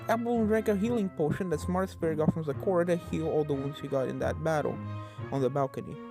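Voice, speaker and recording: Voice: medium pitch, rough recording. A narrator reading an adventure story expressively, occasionally stumbling and correcting the wording, with game music behind. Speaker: narrator of a fan fiction reading. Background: video game music.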